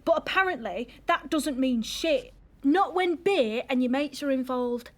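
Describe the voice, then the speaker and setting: A woman's voice: high voice, lively intonation, a little slow. A woman playing an indignant young girlfriend in a modern audio drama, blunt and confrontational. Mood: angry, hurt.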